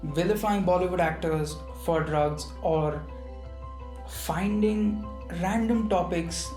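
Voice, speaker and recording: Indian-accented man, fairly high voice, earnest informal video commentator, recorded in an echoey room. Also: slow pace, a little mellow, faint music behind. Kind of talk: opinion monologue to viewers. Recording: roomy, echoing sound.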